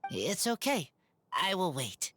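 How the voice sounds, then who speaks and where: An actor voicing an earnest, shy wolf-raised boy in a video game, speaking in halting, broken simple phrases. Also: lowish voice, sing-song, a bit bright, breathy edge, no room sound, faint music behind, heavily acted. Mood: subdued, sincere.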